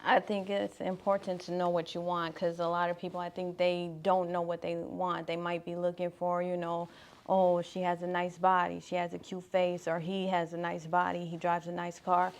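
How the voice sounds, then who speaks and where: A woman's voice: lowish voice, monotone, moderate pace. A woman in her thirties, a guest on a casual interview, giving thoughtful, earnest advice about love. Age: 30s.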